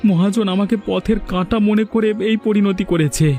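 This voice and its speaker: Wronged young village hero for cartoon, played by a man: earnest, determined, soft dark timbre, slightly high. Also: fairly even intonation, moderate pace, faint music behind. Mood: pained, earnest. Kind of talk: cartoon story drama.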